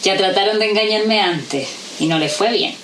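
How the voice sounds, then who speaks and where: An actress playing a guarded, bereaved widow in an audio drama. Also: female voice, mid-range voice, moderate pace, fairly bright tone, natural voice. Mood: guarded, wry. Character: Valeria, guarded, bereaved widow seeking answers. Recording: echoey, distant, noisy sound.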